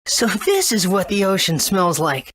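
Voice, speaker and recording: A woman's voice: mid-range voice, wildly swooping pitch, measured pace, fairly bright tone, dry recording, full character voice. An actress voicing an earnest, kind and curious young boy hero in a video game. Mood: wide-eyed.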